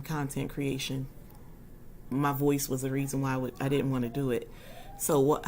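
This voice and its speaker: Low, husky-voiced woman chatting. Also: a little hiss or hum. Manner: chatty and warm toward her viewers, frequent ums. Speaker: livestream host. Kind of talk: casual livestream chat.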